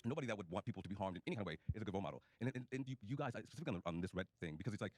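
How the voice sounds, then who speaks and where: A man's voice: on the low side, expressive, rapid-fire, darkish tone, no room sound. A man in a group debate, insistent and repeating his point over others. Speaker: debate participant.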